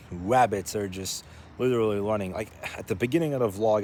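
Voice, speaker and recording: A man's voice: on the low side, a little mellow, slight background noise. A man vlogging casually, rambling and joking as he talks half to himself and half to his viewers. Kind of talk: casual rambling vlog to camera.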